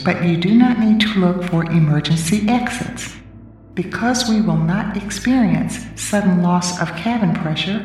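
A woman playing an airport boarding announcer, giving formal, measured announcements in a radio drama. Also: lowish voice. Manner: measured, formal public-address delivery. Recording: distant echoey announcement with soft music.

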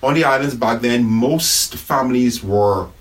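A man from the Bahamas, an Anglican priest answering interview questions in a church office, speaking in a measured, thoughtful way with pauses and restarts. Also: mid-range voice, distant mic. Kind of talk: reflective conversational interview.

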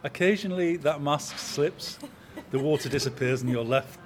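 A man in his sixties, an opera company manager, talking in a measured, anecdotal way in an interview. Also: on the high side, noisy background. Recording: crowd chatter in background.

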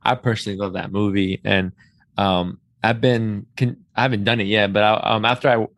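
A man's voice: on the low side, fairly even intonation, brisk, fairly bright tone, no echo. A man hosting a casual interview, reacting with effusive enthusiasm. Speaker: podcast host.

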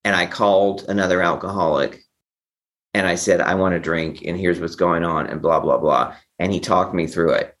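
Low male voice, interview guest, personal storytelling, stop-start phrasing, repeats himself. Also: fairly even intonation, fairly bright tone. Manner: halting, repeats and restarts phrases.

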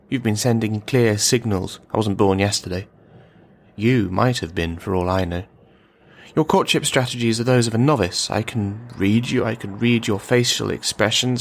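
A man's voice: on the low side, a little hiss or hum, lightly acted. A man playing an unhinged, paranoid loner who rambles on, taunting and insulting whoever is in the room, in an audio drama. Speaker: radio play performer. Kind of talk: radio drama monologue.

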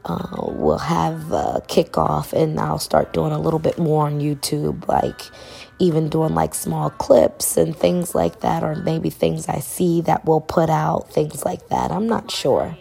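Hoarse low-voiced woman podcaster, gravelly. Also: unhurried. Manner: rambling, relaxed, colloquial. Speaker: podcast host. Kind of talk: casual solo podcast chat.

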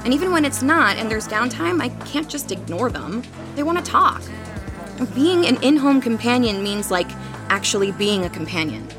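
An actress playing a young student who works as an in-home companion and speaks frankly, sounding exasperated. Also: female voice, a little high, big pitch swings, normal speed, music in the background.

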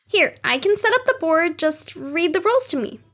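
Lady doing a little girl voice, super high, friendly and keen, audio drama. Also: sing-song, moderate pace, a bit bright, exaggerated voice. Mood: eager, practical.

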